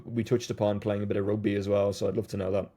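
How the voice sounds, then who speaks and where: A man's voice: fairly low pitch, little pitch movement, quick pace, dark tone. A man hosting a podcast, reading an introduction and then asking a long, hedging question. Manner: rambling, hedging questions with many "maybe". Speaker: podcast host.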